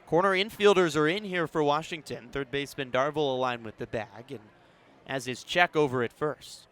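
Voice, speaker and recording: A man doing live baseball play-by-play as a radio announcer, narrating in a measured way with punchy calls. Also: a little high, a bit bright. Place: outdoors at a ballpark.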